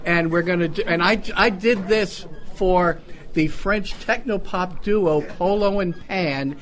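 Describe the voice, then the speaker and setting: A man's voice: high voice, slow, a bit bright. A man doing casual live stage banter as an amateur entertainer, showy and given to repeating his phrases. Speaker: amateur entertainer at a club luncheon. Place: restaurant banquet room. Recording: live in a busy crowded room. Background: chattering luncheon crowd.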